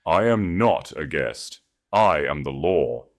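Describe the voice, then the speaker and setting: A man's voice: very deep voice, lively intonation, takes it slowly, exaggerated voice. A man voicing a sneering, cruel royal enforcer in an audiobook, menacing and commanding. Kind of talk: audiobook character voice.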